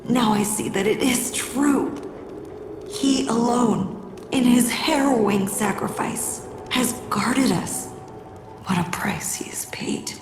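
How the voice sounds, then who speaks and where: A woman playing a devout, mystical cult guardian in a game, solemn and incantatory with a breathy, creaky voice. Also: fairly high pitch, slow pace, background noise. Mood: reverent. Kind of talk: dramatic game voice acting. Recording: echoey reverb with prominent music behind.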